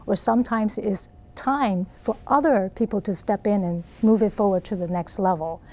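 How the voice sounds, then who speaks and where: A woman's voice: mid-range voice, normal speed, mellow. A middle-aged woman from Taiwan with a Taiwanese accent, earnestly explaining her ideas as an interview guest. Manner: earnest, explanatory, frequent laughs. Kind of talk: interview answers.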